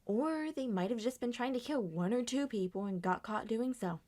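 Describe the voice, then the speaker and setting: A woman with a fairly high voice performs an ASMR roleplay. She acts out dialogue for several characters in turn. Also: normal speed, warm tone. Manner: switches between several acted characters. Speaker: amateur voice performer.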